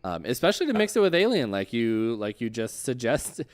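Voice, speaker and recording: A man's voice: mid-range voice, big pitch swings, fairly quick. A man hosting an interview in a casual livestream chat style, talking in a loose, informal, rambling way. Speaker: interview host.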